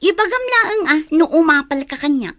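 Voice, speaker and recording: An actress playing a hot-tempered, resentful young woman in a radio drama, talking in a sharp, confrontational way. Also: extremely high pitch, expressive, normal speed, dry recording, studio quality, heavily acted. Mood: angry, accusing. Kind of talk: Ilocano radio drama acting.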